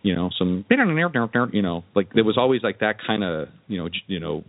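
Low-voiced man chatting, muffled dry audio. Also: lively intonation, moderate pace, no room sound. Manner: rambling, hesitant, frequent "you know" and "kinda". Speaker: podcast host. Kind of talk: casual interview chat. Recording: dull lo-fi, dry podcast audio.

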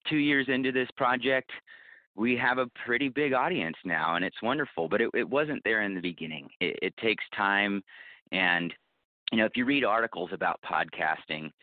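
A man's voice: fairly low pitch, moderate pace. A man speaking as an interview guest, giving casual advice in an earnest, reflective, explanatory way. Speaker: interview guest, writer and podcaster. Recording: roomy, phone-like narrow band.

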